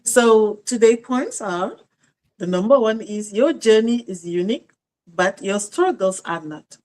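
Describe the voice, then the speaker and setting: A woman from Senegal co-hosts the show, reading out the day's topic and points in a brisk, list-like way. Her voice sits in an ordinary middle range. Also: medium pitch, animated, darkish tone, low-quality recording. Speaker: co-host.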